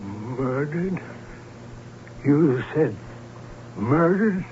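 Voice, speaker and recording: An actor playing an imperious, nervy middle-aged baron in an old radio drama, formal, pompous and theatrical. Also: male voice, fairly high pitch, animated, extremely slow, a little mellow. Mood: stricken. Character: imperious, nervy baron, father of a grown daughter. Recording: dull vintage radio recording, faint noise.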